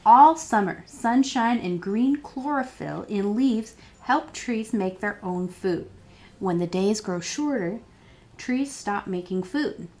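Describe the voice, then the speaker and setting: Woman reading aloud with lively, swooping pitch. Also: takes it slowly. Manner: expressive, addressing young listeners with questions. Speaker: children's librarian reading storytime. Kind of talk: read-aloud of a children's picture book.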